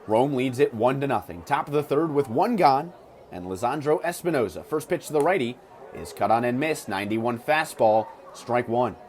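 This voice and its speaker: A man's voice: mid-range voice, animated, normal speed, darkish tone. A man doing live radio play-by-play of a baseball game as the announcer, with clipped, descriptive calls. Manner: clipped, descriptive calls that swell on big plays. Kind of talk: live sports commentary. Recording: clear ambient ballpark noise behind voice. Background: ballpark ambience.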